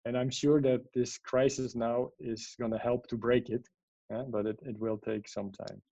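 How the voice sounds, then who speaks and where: A man's voice: medium pitch, level tone, moderate pace, gravelly, dark tone, no room sound, dull audio. A man from the Netherlands, a guest in an interview, explaining at length with many fillers and checking "yeah?" questions. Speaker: guest, AI strategist and author. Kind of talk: interview answers.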